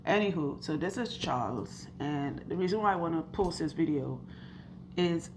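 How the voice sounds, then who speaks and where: A woman loctician with a husky, hoarse voice, talking slowly and earnestly to camera while interviewing a client in her salon. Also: deep voice, expressive, background noise. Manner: earnest, chatty, asks questions and recounts stories. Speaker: loctician and channel host. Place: hair salon.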